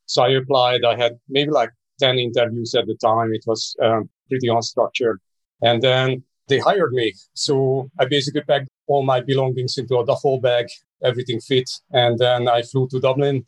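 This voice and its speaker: A man's voice: mid-range voice, dry recording, rough recording. A man in his forties from Hungary with a Hungarian accent, telling his story conversationally as an interview guest. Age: 40s. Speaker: podcast guest, startup co-founder.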